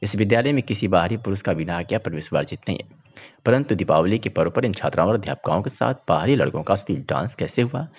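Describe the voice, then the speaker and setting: A man's voice: deep voice, expressive, fast talker, a little mellow, husky. A man reads scripted regional news as a reporter. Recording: dull, muffled voice-over.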